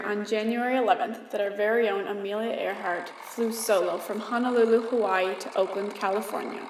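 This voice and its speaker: Woman reading news in an echoey room. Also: on the high side. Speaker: news presenter. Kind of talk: scripted news reading for a mock 1930s radio broadcast.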